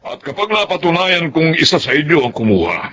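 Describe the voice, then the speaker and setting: A man playing a stern but caring middle-aged father in a Filipino radio drama, measured and authoritative, with a Filipino accent. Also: on the high side, sing-song, background noise. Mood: firm.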